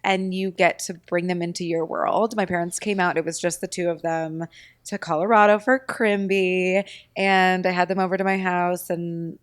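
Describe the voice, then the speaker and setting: A young adult woman with a slightly raspy voice, co-hosting a podcast in casual, playful chat. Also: on the low side, fairly even intonation, normal speed, no echo, studio quality. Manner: laughs often, chatty and playful. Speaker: podcast co-host.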